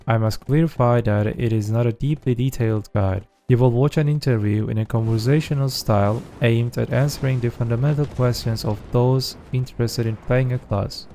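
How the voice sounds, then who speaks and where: A man's voice: medium pitch, dark tone. A man hosting his channel, reading a scripted introduction and asking interview questions in formal, slightly stiff phrasing.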